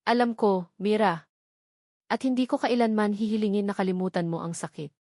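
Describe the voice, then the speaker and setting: A woman's voice: mid-range voice, fairly even intonation, moderate pace, mellow, no room sound, studio quality, close to an everyday voice. Woman voicing an earnest, devoted lawyer father. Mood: remorseful, pleading. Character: devoted male lawyer and father, remorseful, protective.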